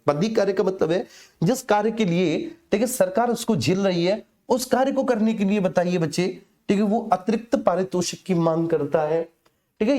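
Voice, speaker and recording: Mellow-voiced man teaching informally. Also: sits high. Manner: conversational, explains with hypothetical examples, repeated check-in tags. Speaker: teacher for police exam coaching. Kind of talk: informal exam lesson.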